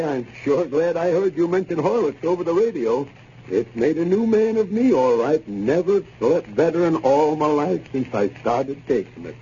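A man with an American accent gives a scripted radio testimonial in 1930s style. The voice is mellow and animated in pitch. It comes through a muffled vintage recording. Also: a little hiss or hum.

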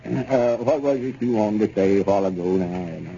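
An actor playing a self-important, folksy small-town storekeeper with a rural Southern accent in a 1930s radio comedy. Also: male voice, lowish voice, fairly quick, creaky, warm tone. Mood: smug. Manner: folksy, boastful, rambling. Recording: muffled, hissy 1930s radio recording.

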